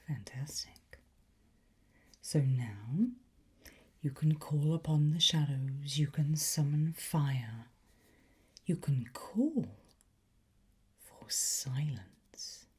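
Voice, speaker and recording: A woman playing a playfully conspiratorial demonology teacher in an ASMR roleplay, whispering slowly and deliberately with a breathy, softly encouraging voice. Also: low-pitched, animated, full character voice. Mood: hushed, playful, intrigued.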